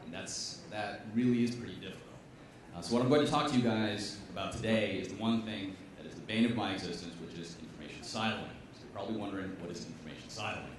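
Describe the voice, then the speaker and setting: A man's voice: lowish voice, fast, noisy background. A man, a chemistry professor, giving a stand-up style science talk with wry, emphatic, punchy delivery. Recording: echoey room, voice from a distance.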